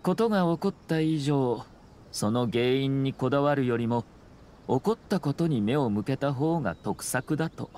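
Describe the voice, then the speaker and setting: A man's voice: medium pitch, a little mellow, slight background noise, close to an everyday voice. Man acting composed, courteous young nobleman. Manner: measured, formal, polite. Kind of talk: anime game voice acting.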